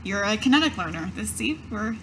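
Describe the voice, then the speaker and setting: A woman playing a tabletop game, speaking in character in a conversational, halting way. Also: mid-range voice, very animated, fairly bright tone, a little hiss or hum. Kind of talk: improvised in-character roleplay in own voice.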